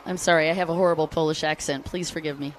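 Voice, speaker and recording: A woman's voice: deep voice, fairly even intonation, fast talker, slight background noise. American woman commentating a jet ski race. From United States. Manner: chatty, shouts out to fans between race updates. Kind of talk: live sports commentary.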